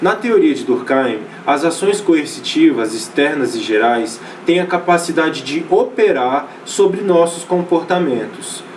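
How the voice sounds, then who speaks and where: A man's voice: on the high side, animated, unhurried, few pauses, far from the mic, slight background noise, low-quality recording. A Brazilian man teaching a sociology class in a loose, conversational way, often restarting phrases and checking in with his students. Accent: Brazilian Portuguese. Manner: casual, many fillers and restarts, checks in with students. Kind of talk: recorded online class lecture.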